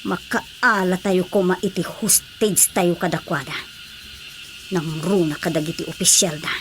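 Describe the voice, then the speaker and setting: A man's voice: high-pitched, fairly even intonation, unhurried, noisy background. A man with an Ilocano accent playing an eager, scheming rebel henchman in a radio drama.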